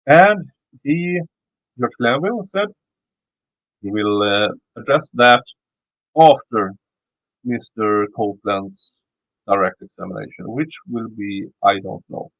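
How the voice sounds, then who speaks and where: A man's voice: fairly low pitch, lively intonation, takes it slowly, long pauses, no room sound, phone-quality sound. A man with a Swedish accent who is not a native English speaker, reading a court filing aloud, stumbling and restarting words and throwing in blunt, cursing asides. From Sweden. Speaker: YouTube commentator.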